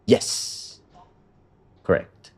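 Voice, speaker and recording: A man's voice: very slow, darkish tone. A man coaching a student through a trading setup on a call, talking loosely with lots of fillers and the odd swear. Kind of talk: casual walkthrough on a call.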